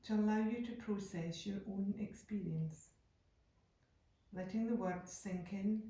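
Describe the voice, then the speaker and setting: A woman guiding a yoga nidra meditation in a calm, unhurried, soothing way, leaving deliberate pauses between phrases. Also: lowish voice. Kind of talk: slow guided meditation narration. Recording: echoey room, distant from microphone.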